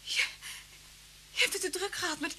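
Very high-voiced frightened captive woman. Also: pushed and bright, heavily acted. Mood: fearful, pleading. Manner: halting, pleading. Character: frightened captive woman, pleading with her captor. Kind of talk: old Dutch radio play acting. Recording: noisy old radio drama recording.

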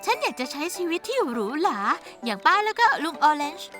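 A woman voicing a spirited young pony girl in a dubbed cartoon, eager, expressive and emphatic. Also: high-pitched, fast. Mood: nostalgic. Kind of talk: animated cartoon dubbing. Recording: music behind the voice. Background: background music.

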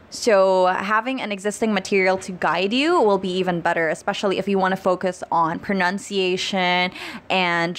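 A woman's voice: medium pitch, a bit bright. An upbeat, chatty woman in her twenties whose first language is Tagalog talks straight to camera. She speaks close into a handheld mic in a small room and laughs lightly between phrases. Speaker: YouTuber giving English-speaking tips. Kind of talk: casual vlog talk to camera. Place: small indoor room, plain wall. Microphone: handheld mic held close.

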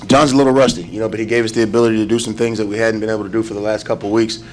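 A man's voice: on the low side, fairly even intonation, a little mellow. A man in his thirties, a head football coach answering reporters after a game. He speaks at a table microphone, stumbling and filling with "you know.". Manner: quick, halting, many "you know" fillers. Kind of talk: postgame press conference answers. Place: press room before a sponsor backdrop. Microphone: table mic in front.

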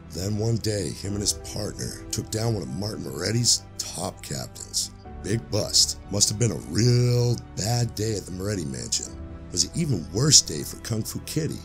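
An actor playing a world-weary old police sergeant in an audio drama, with brooding, measured delivery over background music. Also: male voice, lowish voice. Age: older. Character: grizzled, street-hardened old police sergeant, world-weary, days from retiring. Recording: music bed under the voice.

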